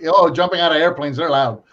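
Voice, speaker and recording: A man in casual conversation who talks loud and rambles, tripping over his words and starting again. His voice sits a little high for a man. The audio is muffled and lo-fi. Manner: loud, rambling, quick restarts. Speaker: podcast co-host. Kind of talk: casual chat.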